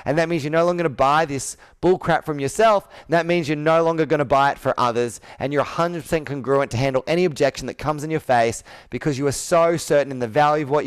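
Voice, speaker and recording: A man's voice: on the high side, smooth. A man in his thirties coaching sales on a video call, talking in a persuasive, emphatic way with repeated phrasing. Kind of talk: live sales roleplay coaching. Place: indoor room with whiteboard, on video call.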